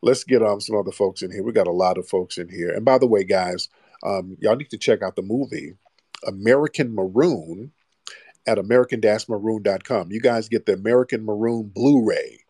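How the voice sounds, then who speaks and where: Low-voiced man mocking his callers. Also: brisk, warm tone, husky, no room sound. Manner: teasing, mocking, rambling. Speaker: livestream host taking callers. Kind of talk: casual call-in chat.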